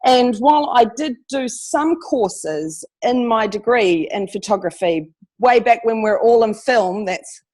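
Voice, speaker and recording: A woman with a New Zealand accent chats as a guest, her voice fairly high. She sounds friendly and relaxed, laughing lightly at herself. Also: unhurried, hardly any pauses. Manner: friendly, laughing, a little self-deprecating. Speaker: guest photographer. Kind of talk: casual interview chat.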